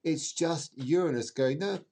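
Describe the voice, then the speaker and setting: A man's voice: a little high, a little slow, creaky, warm tone. A man speaking as an astrologer guest in an interview, explaining emphatically in a rambling way and repeating words for emphasis.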